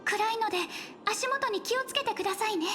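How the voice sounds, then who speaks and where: A woman voicing a gentle, polite, earnest young female guide in an anime-style video game, explaining things softly. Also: very high-pitched, very fast, over music. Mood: polite, pondering.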